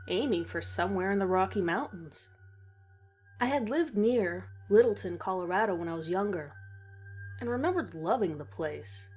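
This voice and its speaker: A woman narrates a first-person horror story at a middle pitch, reading from a script. Music plays softly beneath her voice.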